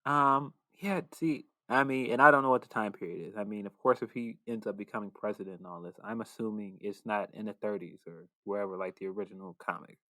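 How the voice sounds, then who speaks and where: A man's voice: fairly low pitch, brisk, hardly any pauses, sharp tone, dry recording, low-quality recording. A man chatting casually as a podcast co-host, reflective and a little hesitant. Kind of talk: casual conversation.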